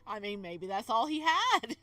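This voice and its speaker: A woman streamer playing a visual novel, mixing read-aloud game text with casual, animated, giggly commentary. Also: on the high side, unhurried, no room sound.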